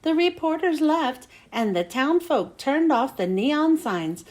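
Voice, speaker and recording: A woman reading a children's storybook aloud in an expressive way, with dramatic storytelling emphasis. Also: high-pitched, takes it slowly. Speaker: children's book reader.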